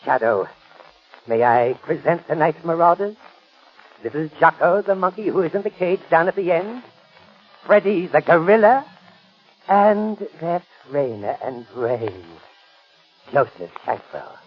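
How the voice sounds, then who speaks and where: An actor playing a deranged, theatrical animal-trainer villain in a 1930s radio drama. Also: male voice, on the high side, animated, unhurried, long pauses, breathy edge, faint music behind. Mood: taunting, gloating. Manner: taunting, theatrical, cackling. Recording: dull lo-fi 1930s radio recording.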